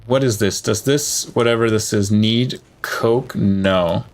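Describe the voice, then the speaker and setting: A man's voice: lowish voice, slow. A man giving casual live gameplay commentary, thinking aloud as he plays. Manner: thinking aloud while playing. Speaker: game streamer.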